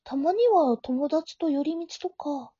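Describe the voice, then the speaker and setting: A woman playing a gentle, naive young woman, a doting childhood friend, in an amateur romantic audio drama, with a soft, sweet manner. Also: high-pitched, animated, slow pace, dry recording. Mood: gentle, casual. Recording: dull, lo-fi home recording.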